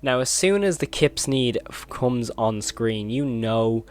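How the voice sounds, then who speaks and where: A man's voice: mid-range voice, lively intonation, slow, a little hiss or hum. A man hosting a horror video commentary, retelling and reacting in an informal, emphatic way.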